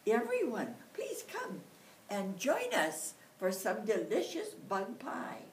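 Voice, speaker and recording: Woman doing a gentle mother possum. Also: on the low side, big pitch swings, slow pace, slight background noise, pitched above the performer's own voice, full character voice. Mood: welcoming. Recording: roomy, distant mic.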